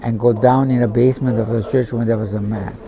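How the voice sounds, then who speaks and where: A man in his seventies, born in Macedonia and raised in Zagreb, tells his personal story in halting, simple non-native English. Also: medium pitch, steady tone, a crowd in the background. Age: 70s. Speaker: interviewee. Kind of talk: personal testimony in conversation. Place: outdoors at a pilgrimage site.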